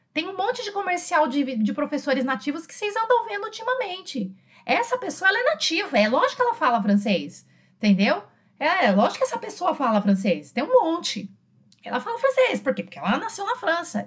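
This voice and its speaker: A middle-aged woman with a Brazilian Portuguese accent teaching an informal online French lesson in a chatty, emphatic way, repeating key phrases. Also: a little high. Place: small indoor room with bookshelves.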